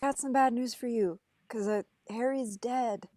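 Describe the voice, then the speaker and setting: A woman's voice: on the high side, measured pace, warm tone, no room sound, lightly acted. Woman reading a blunt, exasperated young man, dry sound. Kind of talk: script table read.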